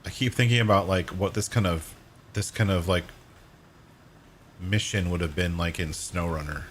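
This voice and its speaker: A man with a hoarse voice, chatting casually and rambling while streaming a game. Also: low voice, normal speed, slight background noise. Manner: rambling, self-correcting, relaxed. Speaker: gaming streamer. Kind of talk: casual live-stream chat while playing.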